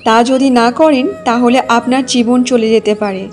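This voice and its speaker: A woman's voice: on the high side, steady tone, normal speed, a little mellow, faint music behind, lightly acted. A woman playing a polite, matter-of-fact lady doctor in a cartoon. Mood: businesslike. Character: courteous, businesslike female village doctor.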